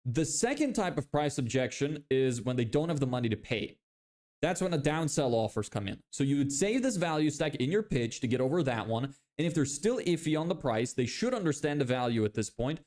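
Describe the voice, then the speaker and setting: A man in his twenties from Lithuania, with a Lithuanian accent, hosting a casual live stream. He reads viewer questions aloud and explains sales ideas in a relaxed way, with lots of fillers and restarts. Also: mid-range voice, a little fast. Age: 20s.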